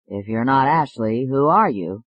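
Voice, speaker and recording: A narrator voicing a calm male psychiatrist with a slow, soothing manner in an audiobook. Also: mid-range voice, no room sound, muffled recording. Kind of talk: audiobook character voice.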